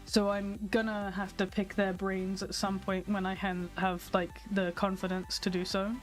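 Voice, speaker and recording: A woman's voice: mid-range voice, little pitch movement, normal speed, faint music behind, poor audio. A young adult woman streaming, chatting candidly with her viewers, a little nasal from a cold. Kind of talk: casual stream chat.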